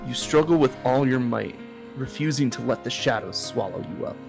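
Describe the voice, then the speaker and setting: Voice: medium pitch, moderate pace, vocal fry, music in the background. A game streamer co-hosting a visual novel playthrough, moving between dramatic narration and casual chat.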